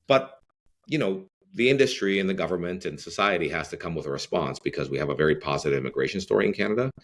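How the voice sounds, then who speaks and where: Middle-aged man from Greece, very low voice, loose rambling podcast guest full of fillers. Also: animated, fast. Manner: rambling, many fillers and restarts. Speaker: real estate CEO guest. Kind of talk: podcast interview.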